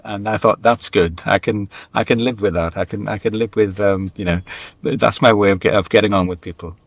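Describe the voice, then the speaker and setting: A man's voice: on the low side, fairly even intonation, fairly quick, dry recording. A middle-aged or older British man answering interview questions thoughtfully, often repeating words as he forms his ideas. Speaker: writer being interviewed, script editor and teacher. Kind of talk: reflective interview conversation.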